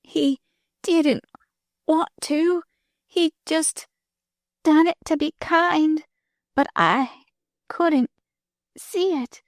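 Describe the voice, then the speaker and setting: A woman voicing a dying young girl who speaks in faint, breathy gasps with rustic slang. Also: sits high, very slow, pauses often, dry recording, clean studio sound, pitched above the performer's own voice, full character voice. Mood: gasping pleading. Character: dying young girl, weak, frightened, defiant.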